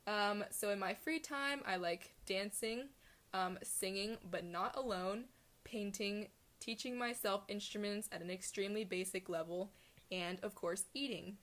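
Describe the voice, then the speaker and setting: Young American woman, monotone casual chat. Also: medium pitch, unhurried. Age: eighteen. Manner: monotone, self-deprecating. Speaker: podcast co-host.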